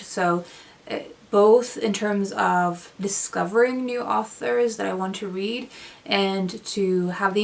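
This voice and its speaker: A woman's voice: medium pitch, gravelly, far from the mic. A young woman whose first language is Swedish, talking about her reading in a thoughtful casual vlog, with frequent ums and self-corrections, recorded at home in front of bookshelves. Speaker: book vlogger. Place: indoors, small room with bookshelves.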